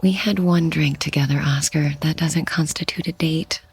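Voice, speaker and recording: An actress playing a weary, guarded widow in an audio drama, polite but reluctant. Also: female voice, deep voice, fairly even intonation, normal speed, breathy edge, heavily acted.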